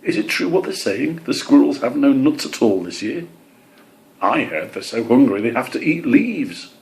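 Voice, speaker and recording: A man voicing chatty, excitable little woodland birds swapping gossip in a children's story. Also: medium pitch, normal speed, vocal fry, voice pushed up, rough recording. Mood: gossipy, excited.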